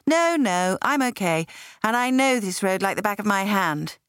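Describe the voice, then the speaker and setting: Brisk, evasive woman in her thirties played by a female narrator, raised pitch, emphatic, dry audiobook sound. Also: expressive, fairly bright tone, smooth, no room sound, close to an everyday voice. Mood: grumbling, brisk.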